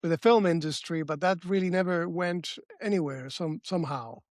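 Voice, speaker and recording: Halting male interviewer, higher-pitched voice. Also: a little mellow, no room sound, clean studio sound. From Cuba. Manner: halting, searching for words. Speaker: interviewer, host. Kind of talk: casual interview chat.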